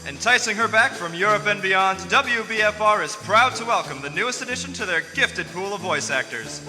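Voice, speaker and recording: A man's voice: sits high, lively intonation, fairly quick, pushed and bright, full character voice. A man playing a showy 1940s radio announcer, formal and grandly projected like a showman. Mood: grand, welcoming. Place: theater stage before live audience. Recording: echoey theater hall, music behind.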